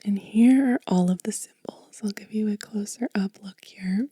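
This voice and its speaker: A woman hosting soft-spoken ASMR, gently reading and explaining in a hushed, breathy whisper close to the microphone. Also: mid-range voice, normal speed, no echo. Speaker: ASMR host.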